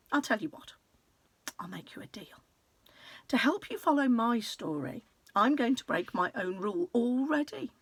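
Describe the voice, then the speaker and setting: A woman reading a children's book aloud like a teacher, in an expressive, conspiratorial and playful storytelling manner with hushed, confiding phrasing. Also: a little high, slightly rough, dry recording. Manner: conspiratorial, playful, dropping into hushed near-whispers.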